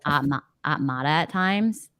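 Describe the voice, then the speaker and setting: Low-voiced woman chatting about books. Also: lively intonation, normal speed, fairly bright tone, smooth, no echo, clean studio sound. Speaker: book club host. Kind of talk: casual book discussion chat. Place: indoor room, video call.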